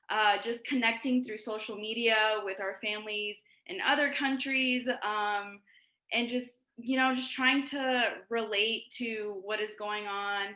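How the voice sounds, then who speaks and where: A woman with a bright, clear voice speaks earnestly, pausing often and leaning on fillers as she works through her thoughts. She is a panelist on a video call, and the room around her rings with echo. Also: mid-range voice. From Ecuador. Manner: earnest, many fillers and pauses. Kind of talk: panel discussion remarks.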